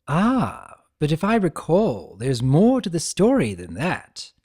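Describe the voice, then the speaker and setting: A man's voice: a little high, wildly swooping pitch, takes it slowly, a little mellow, heavily acted. A man voicing a mischievous, flippant chimera trickster in a theatrical, teasing way for a fan fiction reading. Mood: probing, taunting.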